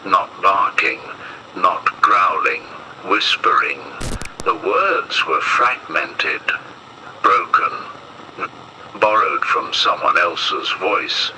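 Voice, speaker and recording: A man reading a scripted emergency bulletin as horror narration, in a solemn, measured and ominous manner. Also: sits low, animated, noisy background.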